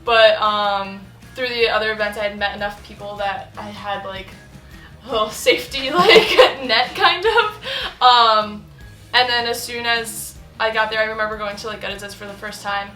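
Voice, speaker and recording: A woman's voice: mid-range voice, normal speed, sharp tone. A young woman talking casually in an interview, chatty with many fillers and laughs. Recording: roomy echo with faint music.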